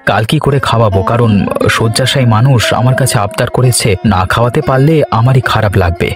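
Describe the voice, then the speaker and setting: Quick-talking, good-natured cartoon husband acted by a man. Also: medium pitch, over music, close to an everyday voice. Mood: placating. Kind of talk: cartoon voice acting.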